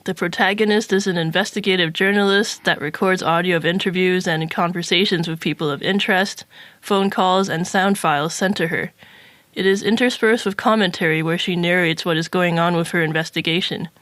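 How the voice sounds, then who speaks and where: A woman's voice: on the low side, fairly even intonation, fairly quick, a bit bright, no echo. A woman co-hosting a podcast, reading out a prepared review in a scripted style.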